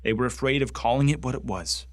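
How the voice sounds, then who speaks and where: An actor playing a bitter, bigoted young man who wants to join a space marine corps, with an intense, indignant delivery and heavy pauses in a radio drama monologue. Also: medium pitch, dry recording, natural voice. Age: young adult.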